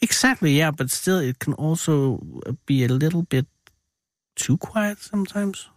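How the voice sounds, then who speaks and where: A man's voice: on the high side, expressive, a little slow, no echo, studio quality. A middle-aged man, hosting a casual interview in a teasing, jokey way. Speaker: radio host.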